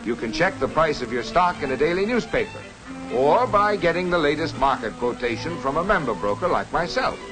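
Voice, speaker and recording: Man playing an animated, earnest 1950s cartoon stockbroker, American. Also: on the high side, fairly quick, natural voice, low-quality recording. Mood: informative. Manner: instructive, salesmanlike. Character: earnest, reassuring adult male stockbroker in an educational cartoon. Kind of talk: 1950s educational cartoon narration, scripted explaining. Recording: 1950s film soundtrack with music. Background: music.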